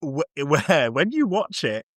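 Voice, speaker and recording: Animated man with a higher-pitched voice. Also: slow pace, dry recording, studio quality. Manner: animated, conversational. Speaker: podcast host. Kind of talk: casual film discussion.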